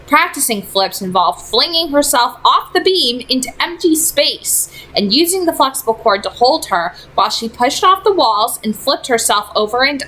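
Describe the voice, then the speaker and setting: A woman's voice: fairly high pitch, a bit bright, rough recording. A woman reading fiction aloud as a storyteller, in scripted narration. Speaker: audiobook-style reader.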